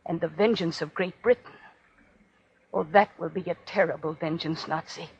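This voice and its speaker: A woman's voice: fairly low pitch, moderate pace, breathy edge. A woman playing a defiant condemned prisoner, solemnly accusing her captor in incantatory, repetitive 1940s radio drama oratory. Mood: solemn, righteous. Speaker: actor. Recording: dull, noisy old radio recording.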